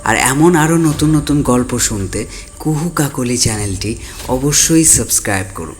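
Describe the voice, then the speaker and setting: A man's voice: mid-range voice, animated, normal speed. A man reading a scripted outro as the announcer of an audio story channel. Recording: music behind the voice.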